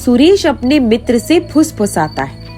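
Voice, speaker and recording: A woman's voice: a little high, sing-song, unhurried, warm tone. A woman narrating a folk tale as a dramatic scripted story. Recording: faint music behind the voice.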